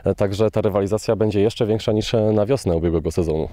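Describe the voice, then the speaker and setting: A man's voice: on the low side, fast talker, dark tone, dry recording, studio quality. A man interviewing a football player, asking prepared questions with small hesitations. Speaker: club media interviewer.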